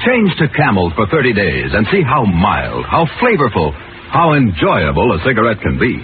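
A man's voice: lowish voice, wildly swooping pitch, normal speed, music in the background. A man reading a mid-century radio commercial as an announcer, with emphatic, persuasive sales delivery. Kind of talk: vintage 1940s-50s radio ad, scripted narration. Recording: old radio recording, narrow band, noisy.

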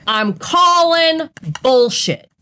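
A woman's voice: very high voice, animated, very slow, bright, forceful tone. A woman co-hosting a podcast, talking in an earnest, emphatic, blunt way in casual conversation. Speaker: podcast co-host.

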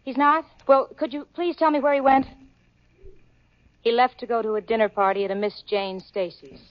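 A woman's voice: fairly high pitch, fairly even intonation, moderate pace, natural voice. Actress playing an exasperated, sensible young woman. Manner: dry, exasperated. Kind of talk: 1940s radio sitcom acting. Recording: lo-fi old radio broadcast with faint hiss.

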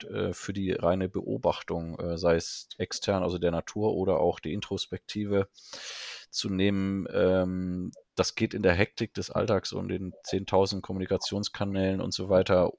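A man's voice: deep voice, level tone, moderate pace, vocal fry, a little mellow, dry recording, clean studio sound. A male interviewer asking long rambling questions in a casual, friendly manner and laughing along often. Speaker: interviewer, host.

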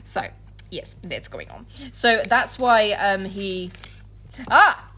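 A woman co-hosting a podcast speaks at a middling pitch. She moves between casual, giggly chat and dramatic reading from a book. Her manner is irreverent and sweary. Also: very animated, slow pace, bright, forceful tone. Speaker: podcast co-host.

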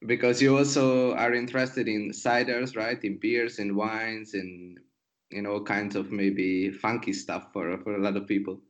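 A man with a fairly low voice hosts a podcast. He is a sommelier who speaks in both a prepared presenting style and casual interview talk. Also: steady tone, measured pace, dull audio. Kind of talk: scripted podcast introduction, then casual interview.

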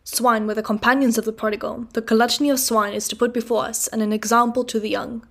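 A woman voicing a sanctimonious, theatrical church clerk who declaims in a deepened voice. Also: flat delivery, quick pace. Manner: declaiming, preachy, deep put-on voice.

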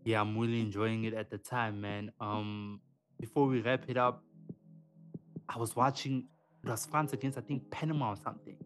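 A man, co-hosting a podcast in casual, slangy, animated chat. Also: on the low side, normal speed. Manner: animated, slangy, often says "man" and "dog". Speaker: podcast co-host. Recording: music playing underneath.

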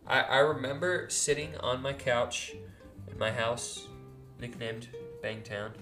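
A young man in his twenties talks loosely and easily, filling his speech with ums and restarts as he rambles through a story. He sits indoors at home. Soft guitar music plays faintly underneath. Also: mid-range voice, slow pace. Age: 20s. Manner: rambling, laid-back, frequent ums and self-corrections. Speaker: podcast co-host. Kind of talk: casual chat. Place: house, indoors.

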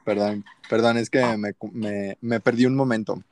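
A man's voice: on the low side, steady tone, moderate pace, darkish tone, slight background noise. A young man with a Mexican accent chatting casually with friends as a podcast co-host, using plenty of slang. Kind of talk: casual chat among friends.

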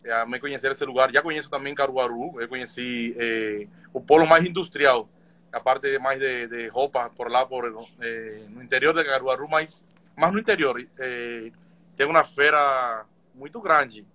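A man from Cuba with a Cuban Spanish accent, a guest chatting on a livestream, pausing often to search for words. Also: mid-range voice, pushed and bright. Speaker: guest digital influencer. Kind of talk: casual live chat.

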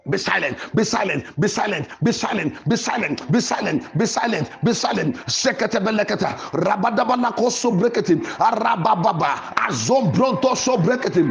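High-pitched, fervent male preacher. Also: gravelly. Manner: forceful, repetitive, chanting declarations. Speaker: preacher leading a prayer podcast. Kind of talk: fervent Pentecostal prayer and exhortation.

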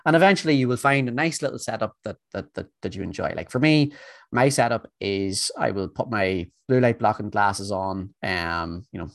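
A man co-hosting a podcast, talking in a relaxed, meandering way full of ums and restarts. His voice sits fairly low. The sound is dry and close, with no room around it. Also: vocal fry, dry recording. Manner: rambling, with many fillers and restarts. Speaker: podcast co-host. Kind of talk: casual chat.

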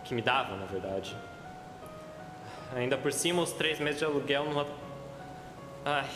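A man's voice: mid-range voice, animated, a little slow, natural voice. A Brazilian man acting a desperate, ashamed broke gambler in a student radio drama, anguished and hesitant. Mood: bitter despair. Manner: anguished, hesitant muttering to himself. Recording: echoey reverberant sound with music behind.

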